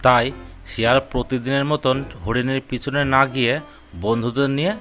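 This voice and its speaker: A man narrating a scripted children's fable in measured, short phrases. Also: mid-range voice, level tone, a bit bright, faint music behind. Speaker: storyteller, narrator.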